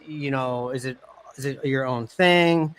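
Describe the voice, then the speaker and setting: A man's voice: mid-range voice, a little slow, fairly bright tone, clean tone, rough recording. A man hosting a podcast, interviewing in a casual conversational way and rephrasing his rambling questions as he goes. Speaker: podcast host.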